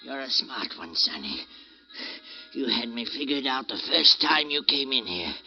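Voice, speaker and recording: A sly old codger in a radio mystery, his voice mid-pitched and swinging. The vintage recording is dull with faint music behind. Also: expressive, unhurried, gravelly. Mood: sly, spent. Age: older. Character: crafty old man, grudgingly amused. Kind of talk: old-time radio drama acting.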